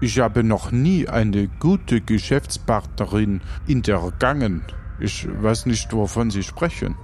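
Man playing a halting, touchy man-eater host. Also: on the low side, animated, darkish tone, a little hiss or hum. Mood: puzzled, defensive. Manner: halting, formally polite, easily offended. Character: touchy, hospitable male man-eater serving dubious food. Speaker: game master. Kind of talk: improvised audio drama role-play.

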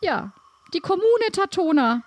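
Guy doing a village lady, high voice, really slow, polite, improv audio play. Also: big pitch swings, exaggerated voice.

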